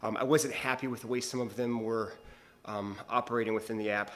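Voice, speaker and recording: A man's voice: mid-range voice, fairly even intonation, a little fast, fairly bright tone. A male bodybuilder vlogging to his viewers in casual chat, rambling and hesitant, with lots of fillers and restarts.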